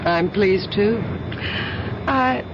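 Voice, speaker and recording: A woman's voice: mid-range voice, extremely slow. An actress playing a charming, friendly young woman passenger in a 1970s radio drama. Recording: dull lo-fi radio broadcast with clear hiss.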